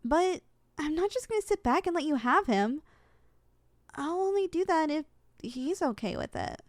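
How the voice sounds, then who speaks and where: High-pitched, animated, indignant young succubus played by a woman. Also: moderate pace, no room sound, clean studio sound. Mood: defensive. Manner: indignant, sputtering, blunt. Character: possessive, flustered young succubus student, indignant and competitive. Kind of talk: ASMR audio roleplay acting.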